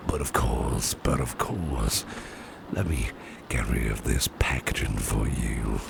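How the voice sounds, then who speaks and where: A man playing a caring, protective businessman boss in a gentle ASMR roleplay, speaking softly and reassuringly, often breathy and near a whisper. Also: rumbling low, background noise, exaggerated voice. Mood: gentle, courteous. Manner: gentle, reassuring, unhurried, repeats phrases softly. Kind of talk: ASMR roleplay, soft personal attention.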